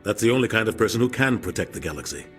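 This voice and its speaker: An actor playing a resolute veteran officer in a video game, firmly vouching for a soldier. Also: male voice, lowish voice, lively intonation, very fast, a bit bright, soft background music. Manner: firm, resolute.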